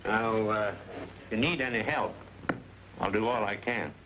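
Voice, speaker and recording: A man's voice: on the low side, unhurried, close to an everyday voice. An actor playing a polite, folksy townsman in an old Western, speaking a little hesitantly. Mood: neighborly. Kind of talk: old Western film acting. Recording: old soundtrack with clear hiss.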